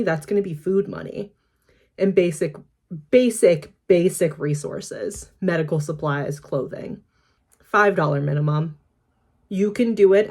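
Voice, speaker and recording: A young woman with a hoarse voice, still recovering from being sick, sitting low in her range. She talks bluntly and casually, swearing freely and laughing often as she chats to her viewers. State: hoarse from illness. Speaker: YouTuber. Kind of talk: casual unboxing vlog with urgent appeal.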